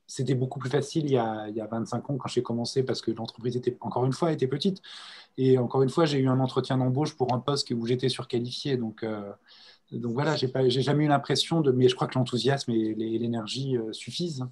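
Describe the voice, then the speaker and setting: A middle-aged man on an online panel, talking in a relaxed, rambling way and repeating himself. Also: mid-range voice, breathy edge. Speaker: panelist, broadcaster staff. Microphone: video call.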